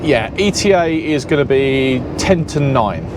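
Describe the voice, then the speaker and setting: A man's voice: medium pitch, animated, measured pace. A man with a Southern English accent, a lorry driver chatting casually and rambling a little as he drives, often correcting himself mid-sentence. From Southern England. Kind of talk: casual vlog chat while driving. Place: lorry cab on the road. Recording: loud engine and road noise. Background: lorry engine and road noise.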